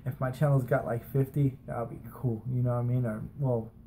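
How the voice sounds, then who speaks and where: A man's voice: mid-range voice, steady tone, fairly quick, warm tone, slight background noise. An American man in his thirties telling personal stories in a casual, informal, rambling way, like a vlogger talking to a camera in a bedroom. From United States, from San Francisco and Las Vegas. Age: 30s. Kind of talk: casual chat, anecdotal storytelling. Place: small bedroom, indoors. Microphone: webcam or camera at desk distance.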